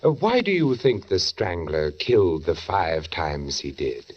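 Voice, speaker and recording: A man's voice: deep voice, sing-song, measured pace, heavily acted. An actor playing an urbane, sardonic newspaperman in a 1940s radio drama, with a suave, wry, teasing manner. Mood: wry curiosity. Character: urbane, sardonic newspaperman probing a murder case. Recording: dull 1940s radio recording.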